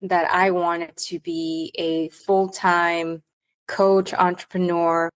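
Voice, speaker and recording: A woman's voice: on the low side, level tone, takes it slowly. A woman hosting a coaching podcast, upbeat and enthusiastic as she introduces the show and chats with her guest.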